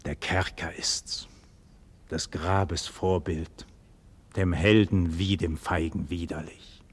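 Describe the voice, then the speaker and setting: A proud captive nobleman in an old radio drama. His low, husky voice declaims solemnly, musing on death and freedom with deep feeling. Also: fairly even intonation, slow, full character voice. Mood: brooding, weary. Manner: solemn, declamatory, swelling from murmur to ardour. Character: noble imprisoned hero brooding on death and freedom. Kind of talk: 1940s German radio play acting, classical monologue.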